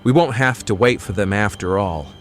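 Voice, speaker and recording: Audio drama role: actor as resolute healer-mystic, caring and calm, lowish voice over faint music. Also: male voice, moderate pace, lightly acted.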